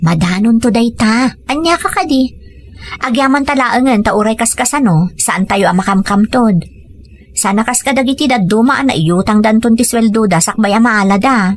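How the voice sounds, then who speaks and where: A woman's voice: a little high, normal speed, natural voice. A woman playing a patient, caring young wife and mother with a Filipino Ilocano accent, in radio drama dialogue and narration. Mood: gentle, reassuring.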